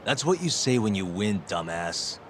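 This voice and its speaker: A man's voice: on the low side, sing-song, moderate pace, slight background noise. An actor playing a cool, unflappable male hero in a video game, dry and understated with wry sarcasm. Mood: wry, firm. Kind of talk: video game cutscene acting. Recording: prominent music behind the voice.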